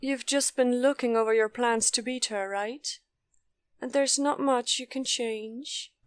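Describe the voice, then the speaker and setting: A woman playing a bookish, deadpan, know-it-all strategist in an audio drama, speaking matter-of-factly. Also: a little high, a little slow, smooth, no room sound, clean studio sound, natural voice. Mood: teasing.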